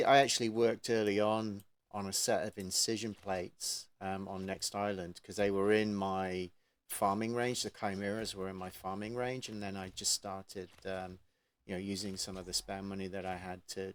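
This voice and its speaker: A man with a fairly low voice talks as the host of a gaming channel. His delivery is casual and conversational, like an informal interview with a fellow player. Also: level tone, moderate pace.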